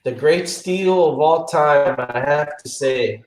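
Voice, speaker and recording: Guy talking sports with his buddies, kinda high voice, echoey room, slangy and emphatic. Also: slow. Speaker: podcast co-host. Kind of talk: casual sports banter.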